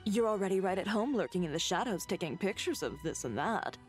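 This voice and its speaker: A sardonic young woman from a video game, acted by a woman. She is cool and dry in a medium-pitched voice, with music faint behind her. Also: lively intonation, fairly quick, close to an everyday voice. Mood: sarcastic.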